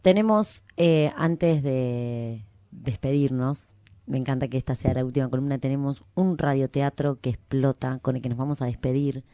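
Low-voiced Argentine woman, effusive radio host. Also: fairly even intonation, normal speed, dark tone, dry recording. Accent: Rioplatense Argentine. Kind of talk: casual chat.

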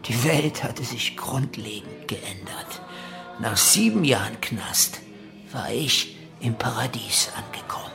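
An actor playing a drifting, self-absorbed ex-convict musician and cult leader, reminiscing in a radio play. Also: male voice, mid-range voice, expressive, takes it slowly, vocal fry, in a whisper. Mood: wistful, blissful. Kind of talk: German radio play monologue. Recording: music behind the voice.

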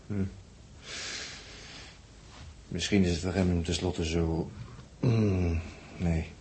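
A reflective spaceship crewman in a vintage radio drama. His voice is low and he muses, searching for words and checking with little questioning tags. A light noise sits on the old recording. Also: fairly even intonation, very slow, darkish tone. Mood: musing. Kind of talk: 1960s Dutch radio drama acting.

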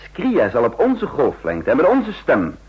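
A husky, insistent intelligence adviser. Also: male voice, mid-range voice, huge pitch swings, unhurried, heavily acted. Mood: urgent, emphatic. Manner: articulate, rhetorical, persuasive. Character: shrewd, insistent male intelligence adviser to a president. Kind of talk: radio drama acting with first-person narration. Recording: dull, lo-fi radio drama recording.

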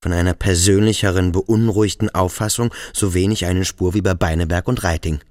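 A brooding, sensitive boarding school pupil played by a man in a radio drama. He speaks formally and deliberately in a low voice, recorded very dry. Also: steady tone, darkish tone, no room sound, natural voice. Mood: troubled, indignant. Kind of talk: literary radio play acting.

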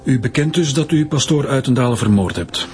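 A man acting a stern police chief in a Flemish radio drama. He questions people directly and formally, in an insistent way. His voice is of medium pitch, with faint music behind it. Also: lively intonation, fairly quick, a little mellow, close to an everyday voice.

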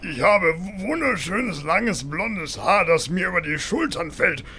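A man's voice: high voice, animated, unhurried, bright, forceful tone, slight background noise, heavily acted. A man playing a vain, prissy role-player in a comedy audio drama, theatrical and in love with his character. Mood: self-enamoured. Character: vain, prissy male gamer role-playing a glamorous elf.

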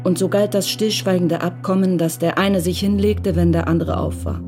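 A woman narrating a German radio play from a script in a measured, matter-of-fact way. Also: on the low side, fairly even intonation, over music.

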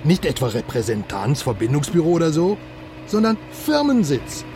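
An actor playing a chatty, excitable East Berlin investigator in a radio crime drama, talking in wry colloquial exclamations and questions. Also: male voice, a little high, moderate pace, noisy background. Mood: wry, intrigued. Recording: music behind the dialogue. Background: music, moving car.

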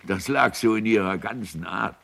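An actor playing a plain-spoken island fisherman giving simple, deliberate witness testimony in a radio play. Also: fairly low pitch, vocal fry, fairly bright tone. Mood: wistful recollection.

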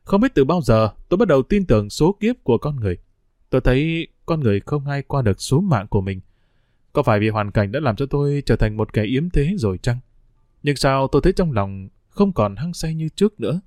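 A man's voice: mid-range voice, lively intonation, fairly quick, a little mellow, no room sound, close to an everyday voice. Man voicing a weary middle-aged prisoner, melancholy, reflective, dry sound.

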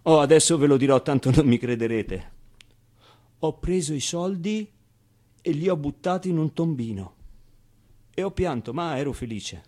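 A young orphan boy telling his story in a theatre monologue. The voice sits a little high, with halting, colloquial phrasing and small interjections. He is candid and streetwise but tender, speaking straight to his listeners. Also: lively intonation, warm tone. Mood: defiant, emotional.

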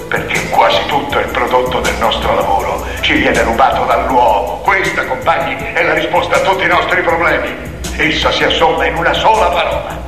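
An actor playing an imposing old boar, a solemn revolutionary orator giving an emphatic theatrical political speech full of rhetorical questions. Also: male voice, mid-range voice, bright, forceful tone, far from the mic, natural voice. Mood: impassioned, defiant. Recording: echoey hall from afar, music behind. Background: music.

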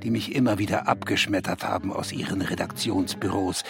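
A man's voice: low voice, steady tone, fairly quick, creaky, a bit bright. An actor playing a bitter, self-absorbed middle-aged writer in a radio drama, brooding and declamatory in his accusations. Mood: bitter, accusing. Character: bitter, self-absorbed East German male writer, needy lover.

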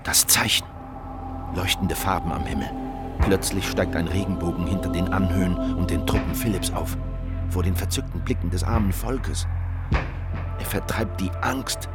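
An actor playing a young male revolutionary who narrates his war in grave, terse, literary fragments in an audio drama. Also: deep voice. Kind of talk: scripted first-person audio drama narration. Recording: loud music over the voice. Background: loud music.

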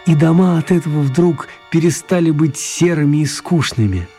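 A man's voice: a little high, moderate pace, mellow, faint music behind. A man narrating a children's radio story in a scripted storytelling style.

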